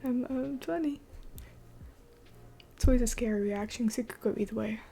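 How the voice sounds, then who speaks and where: A woman's voice: a little high, moderate pace, creaky, mellow, soft background music. A woman in her twenties hosting a late-night live Q&A, rambling with many fillers and often dropping to a whisper. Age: 20s. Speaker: livestream host.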